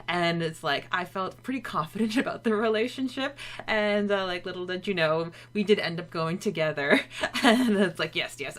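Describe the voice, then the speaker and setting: A woman from Montreal speaks as a podcast co-host. Her voice sits a little low for a woman. She chats casually, in a gushing and giggly way. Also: fairly bright tone. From Montreal, Canada. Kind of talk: casual chat.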